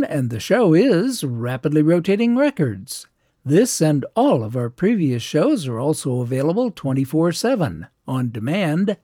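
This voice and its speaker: A male radio host narrates from a script and reads out dates and details at an even, measured clip. His voice sits a bit high for a man and moves in a lively way. The sound is warm and dry, with no room around it. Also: dry recording. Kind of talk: scripted record-show narration.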